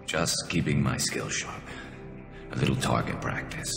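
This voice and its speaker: A man voicing a wry, weary alien sniper in a video game, with a gravelly voice and a dry, laid-back, sardonic manner. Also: normal speed. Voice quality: gravelly, very deep. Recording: echoey hall reverb under loud music.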